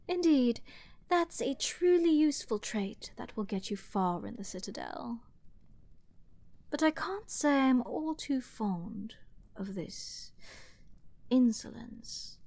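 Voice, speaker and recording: A woman playing an arrogant, flirty and menacing princess in a dramatic fantasy ASMR roleplay, teasing and theatrical, with drawn-out words and dips toward a whisper. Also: a little high, dry recording, exaggerated voice. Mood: amused, menacing. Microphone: close studio mic, dry.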